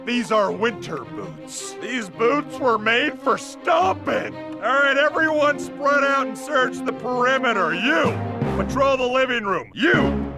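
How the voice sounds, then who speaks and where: An actor playing a pompous, hot-headed young man in an animated comedy game, bossy and melodramatic. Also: very high-pitched, slow, music in the background, heavily acted. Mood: commanding, manic. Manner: bossy, melodramatic, barking orders.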